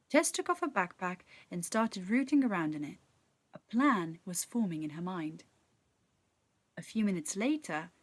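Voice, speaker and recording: A woman narrating a children's story in an expressive, measured, dramatic storytelling style. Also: lowish voice, dry recording. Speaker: actor reading a story.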